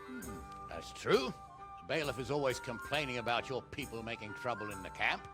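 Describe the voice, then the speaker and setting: An actor playing a blustery, hearty middle-aged nobleman in a medieval video game, with a gravelly, booming, deliberate delivery. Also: animated, over music, close to an everyday voice. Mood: gruffly genial. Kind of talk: video game drama acting.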